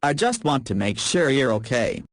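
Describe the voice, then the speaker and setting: A man plays a young man with a wry, casual way of talking and quiet concern. His voice is mid-pitched and recorded dry and close. Visual novel voice acting. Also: very animated, moderate pace, no room sound, natural voice. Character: young man living with Wanda, caring and wry.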